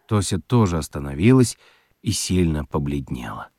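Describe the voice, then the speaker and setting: A man narrating a children's radio story in an expressive, scripted storytelling style. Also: a bass voice, moderate pace, no room sound, studio quality.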